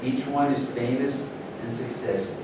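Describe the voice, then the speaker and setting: A man reading scripted narration and stage directions at a staged play reading. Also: moderate pace. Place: echoing indoor room at a staged reading. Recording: echoey distant room recording, noisy rumble.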